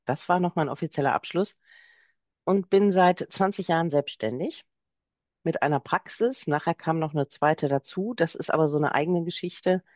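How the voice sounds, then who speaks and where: A woman's voice: sits low, normal speed, darkish tone, no echo, muffled recording. A woman in her fifties from Germany, a guest on a podcast, telling at length about her work as a therapist and practice owner. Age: 50s. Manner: chatty, explains at length. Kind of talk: podcast interview.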